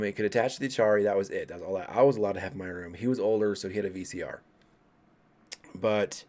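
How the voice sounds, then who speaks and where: A man in his late thirties from Colorado tells his ghost stories straight to camera with a somewhat low voice. He rambles, restarts his sentences and tosses asides to his viewers. The delivery is casual and unscripted. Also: darkish tone. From Colorado, USA. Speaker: vlogger. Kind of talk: casual ghost story telling.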